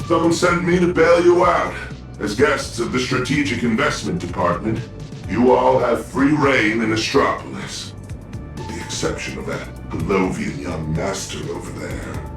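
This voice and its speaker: Flashy smooth-talking company cop for a video game, theatrical, bit distant, music behind. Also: male voice, medium pitch, big pitch swings, normal speed, reverberant. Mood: wry, joking. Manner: theatrical, glib, swaggering. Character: flamboyant, self-mocking male corporate security officer posing as hero.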